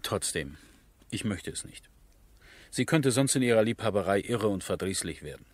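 Captain, male: deep-leaning, slightly rough voice, courteous and exact, measured delivery for a period radio play. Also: animated. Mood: reserved, practical. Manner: measured, formal, precise. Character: earnest, courteous military captain with a scientific bent. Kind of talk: 1970s German radio drama acting.

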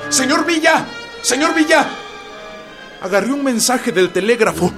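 An actor playing an excitable, deferential young rebel messenger in a radio drama. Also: male voice, very high voice, a little slow, over music, full character voice. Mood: alarmed.

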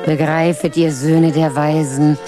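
A woman's voice: low-pitched, little pitch movement, a little slow, music in the background. A woman in a radio drama speaking in a dry, matter-of-fact way, later declaiming a strange incantation. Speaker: radio drama lead character.

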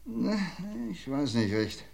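A man playing a shrewd, dryly ironic police commissioner in an old radio crime drama, talking in a measured, lecturing way. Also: mid-range voice, very animated, vocal fry, darkish tone, heavily acted. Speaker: police inspector.

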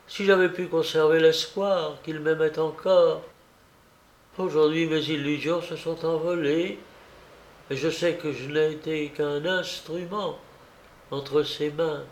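A man voicing a distraught young wife who speaks in a broken, plaintive, halting way, in an audiobook story. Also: fairly low pitch, echoey room, voice pushed up. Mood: bitter, sobbing. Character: distraught, battered young wife, bitter and sobbing.

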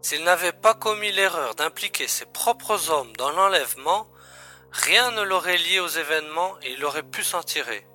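A man playing a calm, matter-of-fact secret agent colleague in a science-fiction audio drama, explaining things in a familiar way. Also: a little high, moderate pace, soft background music. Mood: briefing.

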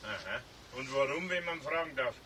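An actor playing a cocky, evasive motorist with a Bavarian accent in a radio play, dismissive and sneering. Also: male voice, medium pitch, animated, normal speed, pushed and bright, slight background noise. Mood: defiant, sneering. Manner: cocky, dismissive, tags lines with "gell". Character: insolent, evasive male litterbug motorist, sneering. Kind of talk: 1970s German radio play acting.

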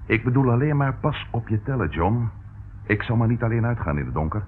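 An actor playing a shrewd, sceptical police detective in a radio drama, with a wry, pointed and deliberate delivery. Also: male voice, medium pitch, expressive, lightly acted. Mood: wry, cautioning. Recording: narrow tinny old radio sound, faint hiss.

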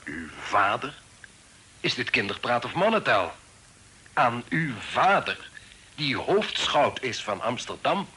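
Commanding, secretive father, bright voice. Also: medium pitch, very animated, breathy edge, heavily acted. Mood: indignant. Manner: formal, deliberate, commanding. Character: secretive, authoritative fugitive father, stern and shrewd. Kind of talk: radio drama acting. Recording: noisy old radio drama recording.

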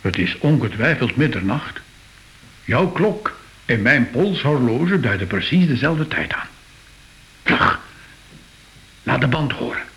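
Radio drama role: excitable, superstitious art expert, unhurried and full of wonder, very animated melody, slightly hissy old recording. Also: male voice, mid-range voice, gravelly, full character voice, poor audio. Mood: baffled.

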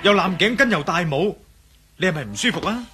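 A man's voice: sits high, expressive, brisk, sharp tone, soft background music. A man playing an insistent, impatient investigator in a radio drama, pressing with questions in Hong Kong Cantonese. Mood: concerned, probing. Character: insistent, impatient male investigator, master of the house.

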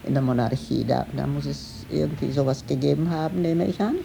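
An older woman in an interview, reflecting haltingly and searching for words as she recalls someone. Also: deep voice, gravelly, warm tone, slight background noise, low-quality recording.

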